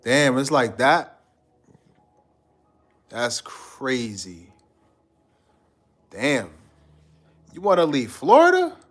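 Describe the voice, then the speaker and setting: A man's voice: mid-range voice, very animated, very slow, pauses often, fairly bright tone. A man from Guyana hosting a live stream, chatting loosely with his viewers in a slangy, rambling way and reading out their comments. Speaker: livestream host.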